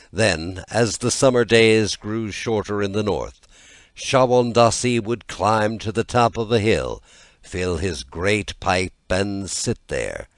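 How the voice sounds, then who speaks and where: A man reading a scripted fairy tale as an audiobook narrator, in a measured and expressive storytelling manner. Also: sits low, dry recording.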